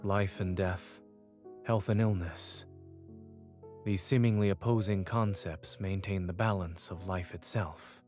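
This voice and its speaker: An actor playing a calm, authoritative doctor in a video game, speaking in a steady, measured way. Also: male voice, deep voice, soft background music. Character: calm authoritative male doctor, brilliant surgeon and lecturer. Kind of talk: scripted game voice acting.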